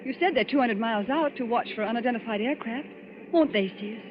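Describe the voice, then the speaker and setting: An actress playing an anxious, quick-thinking young airline passenger in a 1940s radio drama. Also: female voice, fairly high pitch, lightly acted. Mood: urgent. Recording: muffled, noisy old radio recording.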